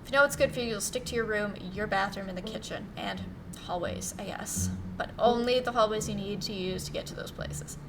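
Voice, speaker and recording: A woman's voice: mid-range voice, fairly quick, recorded from a distance, over music, natural voice, low-quality recording. A woman voicing an icy, haughty female cousin in a visual novel, curt, formal and disdainful. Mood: stern, dismissive. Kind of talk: visual novel character read-aloud.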